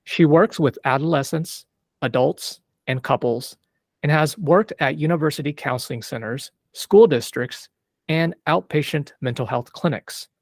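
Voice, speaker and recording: Gravelly-voiced male podcast host. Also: on the high side, a little slow, no echo, clean studio sound. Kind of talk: scripted intro then interview questions.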